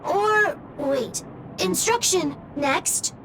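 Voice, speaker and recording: A very high, swooping little robot voice speaks slowly in halting, clipped fragments. It is a childlike, eager and loyal machine from a video game. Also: female voice, expressive, background noise, full character voice. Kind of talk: video game story dialogue. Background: background music.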